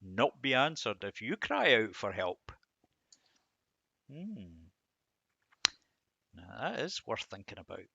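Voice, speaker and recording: A man giving an informal devotional commentary on verses, musing and halting, with self-deprecating asides. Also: lowish voice, sing-song, creaky, pushed and bright, husky, no echo, highs cut off. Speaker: host of a Bible reading series.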